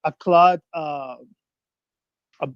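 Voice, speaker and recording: Man, caller, halting and hesitant, slightly high voice, dull muffled phone sound. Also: fairly even intonation. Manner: hesitant, halting, searches for words. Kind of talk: call-in debate.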